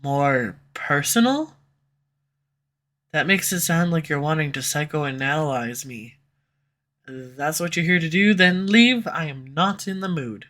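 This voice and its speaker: A woman's voice: deep voice, lively intonation, measured pace, fairly bright tone. A woman voicing a sarcastic snarky villain. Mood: teasing. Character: snarky sharp-tongued villain, slightly tsundere. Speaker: audio roleplay voice actor. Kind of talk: scripted audio roleplay. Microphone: USB condenser mic.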